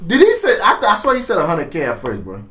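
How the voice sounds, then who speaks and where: A man hosting a reaction video, talking casually in excitable, profane slang and laughing often. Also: a little high, fast, echoey room, far from the mic.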